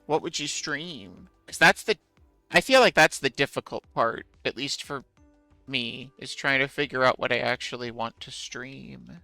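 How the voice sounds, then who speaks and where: A man talks casually with his viewers while he plays, musing aloud and poking fun at himself. His voice is bright and smooth, with some vocal fry at the ends of phrases. The sound is close and dry at a desk mic. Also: medium pitch, unhurried, no room sound, faint music behind. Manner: musing, self-deprecating, answers chat. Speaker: streamer playing a game. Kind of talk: casual gameplay commentary, chatting with viewers.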